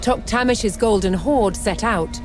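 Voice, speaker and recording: Woman doing documentary style history narration, measured n dramatic, music behind her. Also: mid-range voice. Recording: music under the voice.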